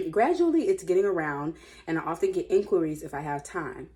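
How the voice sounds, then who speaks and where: Low-voiced woman, casual and slangy. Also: expressive, moderate pace, darkish tone. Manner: informal, slangy, opinionated, musing aloud. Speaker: host reading and commenting on online stories. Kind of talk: casual reading aloud with chatty commentary.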